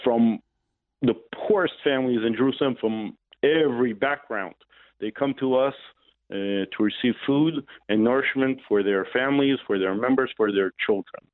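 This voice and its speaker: A man in his forties from Israel with an Israeli accent, a radio interview guest answering questions in a halting way, with frequent pauses and fillers. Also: mid-range voice, no room sound. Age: 40s. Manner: hesitant, many pauses and "uh" fillers. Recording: tinny phone line.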